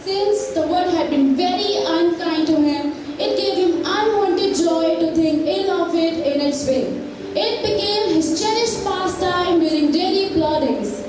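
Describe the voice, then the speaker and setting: A woman with an extremely high voice narrates a play from a script. The hall echoes heavily and there is clear background noise. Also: unhurried.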